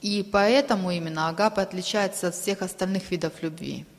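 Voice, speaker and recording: A woman interpreting a sermon aloud, delivering it phrase by phrase in a halting way. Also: lowish voice. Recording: long reverberant hall sound.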